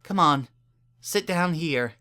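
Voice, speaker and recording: A man plays a caring female pony mayor in a dramatic story reading. The voice is lifted well up high, worried and urgent, pressing to help. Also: slow, sharp tone. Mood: urgent, reassuring.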